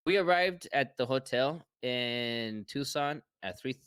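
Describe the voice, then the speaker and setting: A man's voice: medium pitch, expressive, slow, fairly bright tone, smooth, no room sound, studio quality. A man co-hosting a fan podcast, chatting casually, rambling and swearing as he jokes. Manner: rambling, profane, joking. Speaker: podcast co-host. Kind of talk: casual chat.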